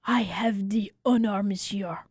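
A woman playing an excitable, tender-hearted little French barber telling his tale in a dramatic, emphatic way for a radio story. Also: mid-range voice, measured pace, husky, no echo, studio quality. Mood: indignant.

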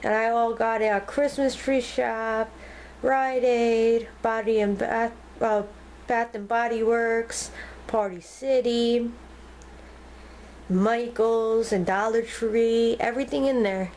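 A woman filming a casual tour of her home and naming each item as she goes, pausing and restarting often. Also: on the high side, steady tone, a little mellow, a little hiss or hum. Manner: halting, with frequent ums and restarts. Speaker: vlogger giving a home decor tour. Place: inside a home, walking room to room. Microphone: handheld camera held by the speaker.

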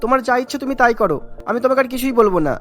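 Voice actor as a fast-talking patient husband. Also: male voice, very high voice, fairly bright tone, soft background music. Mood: firm. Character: patient poor young husband.

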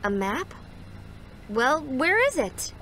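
A woman's voice: high voice, sing-song, slow pace, bright, forceful tone, a little hiss or hum, full character voice. A woman playing a practical young alien heroine in a video game. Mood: alert, questioning. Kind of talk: video game character acting. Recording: very echoey, distant hall.

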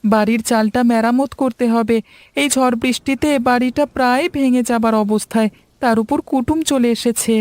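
A woman's voice: a little high, steady tone, moderate pace, darkish tone, no room sound, lightly acted. A woman voicing an earnest, polite and hardworking poor village boy in a cartoon story. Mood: worried.

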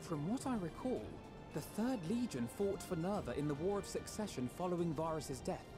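A man's voice: high-pitched, expressive, moderate pace, music in the background. A man playing an earnest, analytical scholar adviser in a fantasy game, formal and articulate. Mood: serious.

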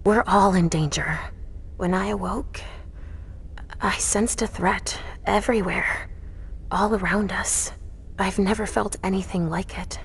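An actress playing a troubled, tender vampire seer mother in a video game, speaking in a hesitant, halting way. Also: mid-range voice, steady tone, creaky, slight background noise. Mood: grave, uneasy. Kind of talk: dramatic game cutscene acting.

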